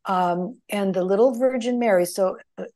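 A woman's voice: medium pitch, normal speed, warm tone. A middle-aged woman whose first language is Greek, telling stories in a rambling conversational way, full of restarts and fillers. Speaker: guest. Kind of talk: conversational storytelling.

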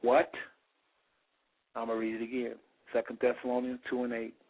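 A man with a slightly raspy, mid-pitched voice preaches with fervor on a call-in radio show. He repeats phrases for emphasis and reads scripture aloud. The sound is thin and phone-like. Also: completely flat delivery, normal speed, slight background noise. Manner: fervent, rhetorical repetition, reads scripture aloud.

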